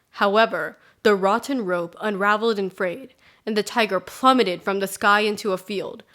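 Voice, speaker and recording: A woman's voice: mid-range voice, fairly bright tone. A woman with a mid-range voice tells a children's folktale. She delivers it as scripted storybook narration.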